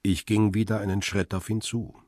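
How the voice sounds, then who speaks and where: Man doing hardboiled crime narrator, really deep gravelly-husky voice, cool and terse, super dry studio sound. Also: level tone, moderate pace, darkish tone, dry recording, close to an everyday voice. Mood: matter-of-fact. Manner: terse, matter-of-fact storytelling. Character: hard-boiled male first-person narrator, cool, brutal, observant. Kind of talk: scripted crime drama narration.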